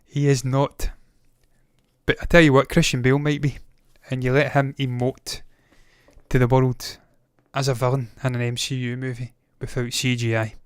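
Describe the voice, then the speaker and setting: A man's voice: medium pitch, flat delivery, normal speed, clear, resonant tone, no echo, clean studio sound. A man in his thirties hosting a movie news show, talking casually, with rambling opinionated asides. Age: 30s.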